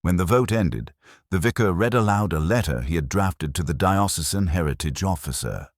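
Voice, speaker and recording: A man's voice: deep voice, lively intonation, normal speed, no room sound, studio quality. A man reading literary mystery fiction in a formal, dryly ironic manner. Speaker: narrator and reader. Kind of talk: scripted literary mystery narration.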